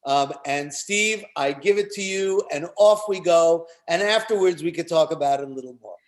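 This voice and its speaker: A man's voice: on the high side, normal speed, low-quality recording. A man hosting a live festival event, chatting casually as he introduces things. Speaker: festival host and performer.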